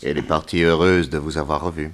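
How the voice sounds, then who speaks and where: A man playing a courteous, probing private detective in a 1960s French radio mystery, with measured questioning. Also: very deep voice, fairly even intonation. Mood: kindly. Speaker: lead actor in a detective serial.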